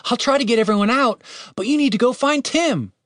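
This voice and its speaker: An earnest young elf adventurer from a fantasy audiobook, voiced by a man. He speaks in a lifted, light pitch with an anxious, hurried manner. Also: animated, a bit bright, no room sound, clean studio sound.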